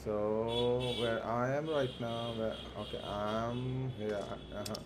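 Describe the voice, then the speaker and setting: A man hosting a game livestream with casual commentary, halting and repeating himself as he thinks aloud. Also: mid-range voice, steady tone, background noise. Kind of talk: casual live gameplay commentary. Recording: music behind the voice. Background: clear background music.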